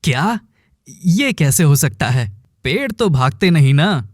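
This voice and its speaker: Casting: man as young village woodcutter for cartoon melodrama, earnest and uneasy, higher-pitched, very dry sound. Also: very animated, slow, no echo, heavily acted. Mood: curious, intrigued. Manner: earnest, brooding.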